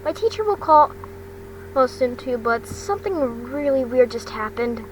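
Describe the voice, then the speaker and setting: Female reader as earnest teen boy, storybook dialogue, high-pitched, hesitant, recorded at a distance with noise. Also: animated, unhurried. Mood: uneasy, urgent. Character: polite, uneasy teenage schoolboy. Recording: distant mic, noisy background hum.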